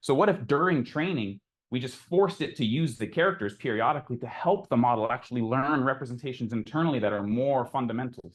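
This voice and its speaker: A man giving an informal academic lecture, explaining in a conversational way and thinking aloud as he takes questions. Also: medium pitch. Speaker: lecturer presenting a technical talk.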